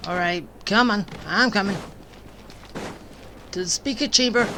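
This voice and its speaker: A woman's voice: mid-range voice, unhurried, noisy background. A woman giving casual gameplay commentary, rambling and tossing teasing asides at the game's characters. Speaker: game streamer. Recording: game music behind the voice.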